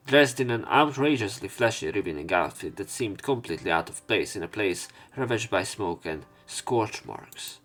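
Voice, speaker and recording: A man narrating a visual novel let's play, reading the text aloud in a measured way with some stumbles. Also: fairly low pitch, lively intonation, fairly bright tone, soft background music. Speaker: let's player.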